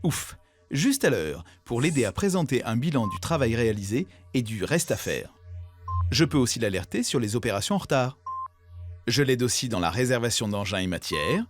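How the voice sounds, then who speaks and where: A man voicing an eager, self-assured, helpful software mascot, presenting in an upbeat way in an educational cartoon. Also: medium pitch, sing-song, moderate pace, soft background music, lightly acted. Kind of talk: scripted explainer in an educational cartoon.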